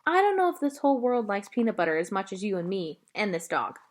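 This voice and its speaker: A woman's voice: mid-range voice, sing-song, fast, close to an everyday voice. A kindly but firm older Southern woman from a storybook, voiced by a woman reader. She is folksy and wry, with intonation that rises and falls freely. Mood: wry, amused.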